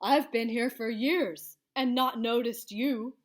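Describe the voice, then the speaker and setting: A woman's voice: a little high, takes it slowly. A woman voicing a friendly, mischievous monster from under the bed in a children's storybook. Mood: loud greeting.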